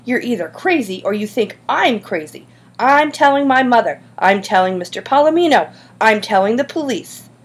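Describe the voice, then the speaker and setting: A woman reader plays a fiery schoolgirl animal lover in a storybook. The voice is husky and pitched a little up, with scathing, emphatic indignation. Every phrase comes out as a scolding. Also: moderate pace. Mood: furious. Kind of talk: audiobook character dialogue.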